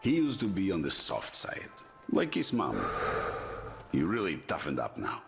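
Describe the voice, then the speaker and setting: Swooping, low-voiced genial military commander. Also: male voice, huge pitch swings, a little slow, creaky, soft background music, heavily acted. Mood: proud. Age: middle-aged. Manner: chummy, persuasive, switching to commanding. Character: genial but ruthless middle-aged male military commander. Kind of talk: dramatic video game cutscene acting.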